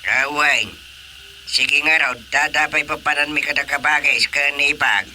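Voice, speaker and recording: A man's voice: fairly high pitch, steady tone, moderate pace, bright, forceful tone, slight background noise. A man with an Ilocano accent playing a jovial, playful village husband in a radio drama. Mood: easygoing.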